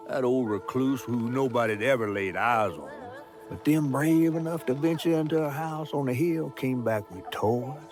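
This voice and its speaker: An actor with a Southern US accent playing a folksy storyteller, narrating a dark, sorrowful tale with drawn-out, dramatic phrasing. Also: male voice, mid-range voice, close to an everyday voice. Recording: music under the voice. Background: music.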